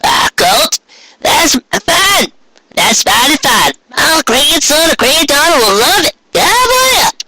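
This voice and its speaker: A man voicing a feisty, blunt, elderly country granny pony in a strained high falsetto, with folksy rural phrasing, in a storybook reading. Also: very animated, slow pace, bright, forceful tone, exaggerated voice, poor audio. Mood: delighted. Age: older. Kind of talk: amateur storybook character reading.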